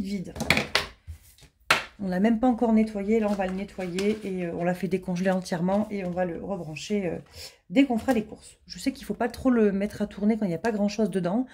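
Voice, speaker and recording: Chatty woman in her thirties, mellow voice. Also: mid-range voice. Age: 30s. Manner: chatty, self-deprecating, laughs often, many fillers. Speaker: budgeting vlogger. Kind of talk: casual chat to camera. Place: home, indoors.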